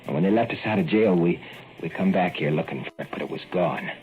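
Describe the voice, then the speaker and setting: An actor playing a slow, drawling rural sidekick in 1940s radio acting. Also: male voice, low-pitched, slight background noise, lightly acted. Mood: quiet. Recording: dull old radio recording with music.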